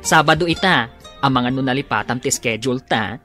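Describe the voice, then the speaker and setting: A woman's voice: extremely low pitch, brisk, a bit bright, faint music behind. A woman playing a carefree, teasing bar-going buddy who drawls, in an Ilocano radio drama. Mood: teasing, coaxing.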